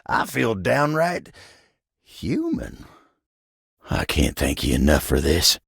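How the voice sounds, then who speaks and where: Patient living-table cowboy, American drawl, very low pitch, gravelly, expressive swings, tender, folksy, game dialogue. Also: male voice, slow pace, no echo, clean studio sound, full character voice. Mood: awed. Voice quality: gravelly, husky. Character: gruff, folksy, tender male table turned human, patient cowboy type. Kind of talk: video game character dialogue.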